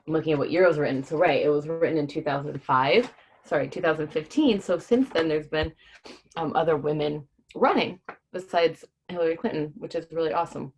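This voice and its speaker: A woman's voice: low voice, fairly quick, husky. A woman hosting a casual livestream read-aloud for children, talking informally and keeping things simple for young listeners. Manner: informal, explains hard words simply for children. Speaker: host reading a children's book on a livestream.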